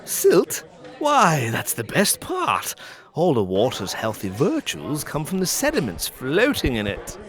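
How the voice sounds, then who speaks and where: A man playing a frail, fussy, self-pitying hypochondriac gentleman suitor in a farcical audio drama. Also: a little high, wildly swooping pitch, measured pace, heavily acted. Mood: eager coaxing. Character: frail, fussy, stooped thin hypochondriac suitor, Sir Charles.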